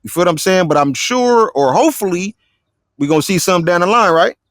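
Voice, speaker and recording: A man's voice: high-pitched, animated, quick pace, no room sound, clean studio sound. A man hosting a casual live interview, talking laid-back in street slang and often asking "you feel me". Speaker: interview host.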